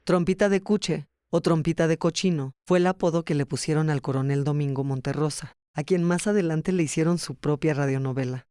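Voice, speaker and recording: A man with a fairly high voice narrates documentary history. He reads from a script as the narrator of a podcast. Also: fairly quick, few pauses, darkish tone, clear, resonant tone, no room sound, clean studio sound.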